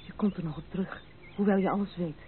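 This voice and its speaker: A woman's voice: on the low side, fast talker, natural voice. An actress playing a proud, troubled young woman in love, earnest and dramatic, in a Dutch radio play. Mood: agitated, resisting. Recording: narrow, noisy old radio play recording.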